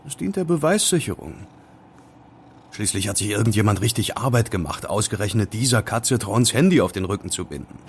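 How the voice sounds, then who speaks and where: A man's voice: fairly low pitch, lively intonation, a little fast, a little hiss or hum. An actor playing a curious, wary young male student sleuth in an audio drama, conversational and musing. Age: young adult. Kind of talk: German audio drama acting.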